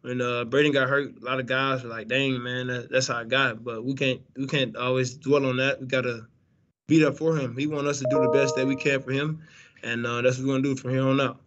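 A man's voice: medium pitch, little pitch movement, a little fast, a bit bright, highs cut off, slight background noise. A young man, a college quarterback, answering post-game press questions in a laid-back way with lots of fillers and restarts, over a video call. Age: young adult. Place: indoor press room, branded backdrop. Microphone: video call, webcam.

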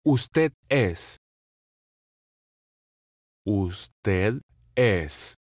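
A man reading short phrases for a language lesson, saying each one slowly and clearly and then repeating it. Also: lowish voice, animated, darkish tone, dry recording. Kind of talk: slow, carefully enunciated drill reading. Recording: dry, close studio voice-over.

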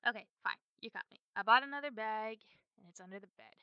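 A woman's voice: a little high, fast talker, clean tone, no echo, highs cut off, natural voice. A woman playing a cute, playful, childishly possessive girlfriend in an ASMR roleplay, with a coy, stammering manner. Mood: coy bargaining.

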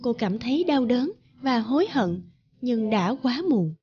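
Mellow woman narrating a folktale. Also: on the high side, expressive, a little slow, breathy edge, dry recording. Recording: dull, band-limited sound.

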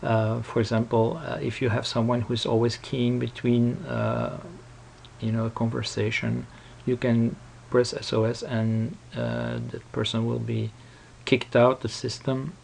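Slow, hesitant man with creaky voice. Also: mid-range voice, little pitch movement, darkish tone, slight background noise. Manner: hesitant, halting explanation. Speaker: software tutorial presenter. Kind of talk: casual unscripted screen-recording walkthrough.

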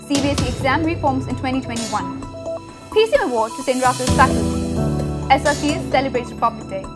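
A young woman reading a school news bulletin as the anchor, with a brisk, even headline delivery. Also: sits high, over loud music. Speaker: student news reader.

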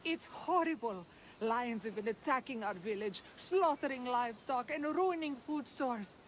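Older village leader in a game, solemn, higher voice, faint outdoor noise. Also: female voice, lively intonation, unhurried. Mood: distressed. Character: grieving older village leader woman of ancient Greece.

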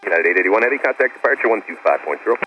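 Male tower controller, extremely fast, dull radio with faint noise. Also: on the low side, expressive. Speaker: tower air traffic controller. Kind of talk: radio instructions.

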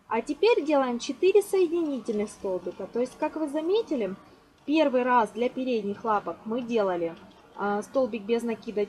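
A woman with a fairly high voice hosts a hands-on crochet tutorial. She explains each step and counts aloud in a reassuring way. The home recording has faint noise behind her. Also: darkish tone, poor audio. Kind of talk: step-by-step craft instruction.

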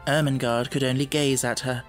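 A man's voice: medium pitch, flat delivery, a little fast. Man narrating an audiobook, music behind. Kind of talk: scripted narration of a children's novel.

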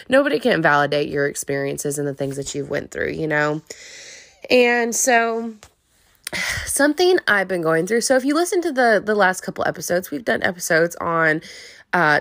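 A young woman with a slightly low voice talks alone into a close mic for her podcast, sniffly from a cold. She rambles openly and confessionally, full of likes and ums. The sound is dry, with no room around her. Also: fairly bright tone, dry recording. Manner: rambling, open and confessional, many fillers. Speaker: podcast host. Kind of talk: casual solo podcast monologue.

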